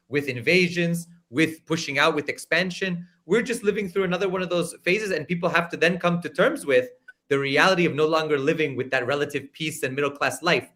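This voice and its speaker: A man's voice: on the high side, fairly bright tone. A man from the United States, talking on a live panel discussion in a reflective, rambling way with frequent restarts.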